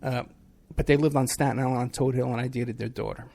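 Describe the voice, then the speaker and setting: Sarcastic rambling New talk host. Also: male voice, mid-range voice, fairly even intonation, fast talker, creaky, a little mellow. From New York City. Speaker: radio show host, private investigator. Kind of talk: casual talk radio monologue.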